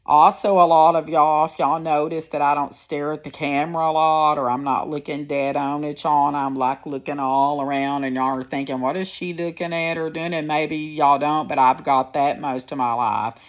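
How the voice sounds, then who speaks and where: A woman in her sixties with a deep, firm voice, talking candidly and rambling a little as she speaks to her viewers into a phone. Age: 60s. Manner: candid, rambling, plain-spoken. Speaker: personal vlogger. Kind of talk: casual personal storytelling to viewers.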